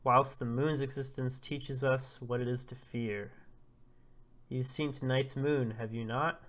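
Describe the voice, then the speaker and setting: A man's voice: mid-range voice, steady tone, a little slow, a bit bright, lightly acted. Solemn old male elder, formal and foreboding, storybook prophecy-teller, read by a man. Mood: grave. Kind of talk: storybook character reading.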